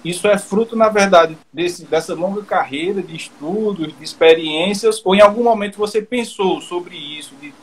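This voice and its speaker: A man with a Brazilian Portuguese accent and a slightly high voice, hosting a podcast. He asks long, looping questions and adds his own views, with faint noise behind him. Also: normal speed, few pauses, low-quality recording. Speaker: podcast host. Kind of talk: casual interview talk.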